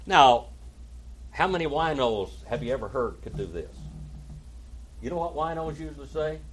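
A man's voice: fairly high pitch, animated, moderate pace, a little hiss or hum, poor audio. A man from Oklahoma with an Oklahoma accent, teaching a Bible class in a folksy way and calling on listeners by name. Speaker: pastor.

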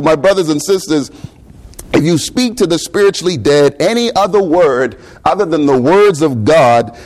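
A man with a Bahamian accent preaches in a loose, talky way. He keeps asking his listeners questions and repeats words now and then. His voice sits a little high for a man. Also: a little mellow. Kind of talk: sermon.

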